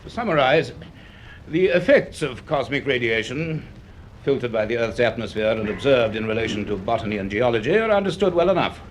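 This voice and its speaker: An actor playing a learned, slightly gruff male professor in a radio drama, speaking in a formal, donnish manner. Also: medium pitch, lively intonation, brisk, a little hiss or hum, close to an everyday voice. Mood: formal, lecturing. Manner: donnish, formal, hesitant when reminiscing. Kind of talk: radio drama monologue and lecturing.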